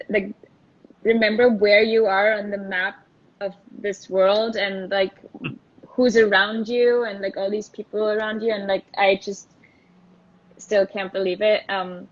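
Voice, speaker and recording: Woman from Austria, lo-fi call audio. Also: medium pitch. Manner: thoughtful, many fillers and restarts. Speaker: guest. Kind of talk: casual interview chat.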